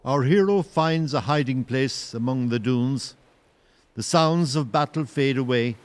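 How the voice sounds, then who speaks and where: A man narrating an audio play as a solemn storyteller, in a poetic, literary and measured style. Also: mid-range voice, lightly acted. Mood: somber. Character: solemn storyteller of a historical shipwreck tale.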